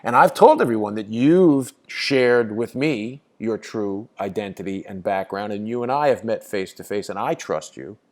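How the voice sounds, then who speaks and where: A man's voice: on the low side, animated, moderate pace, few pauses, a little mellow. A man hosting a call, talking insistently and repeating his points. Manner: insistent, repetitive. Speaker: host. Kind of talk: casual phone conversation.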